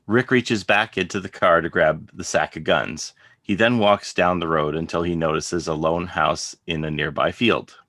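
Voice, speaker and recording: Deep, bright-voiced male narrator. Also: steady tone, normal speed, no room sound. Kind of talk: scripted narration of stage directions.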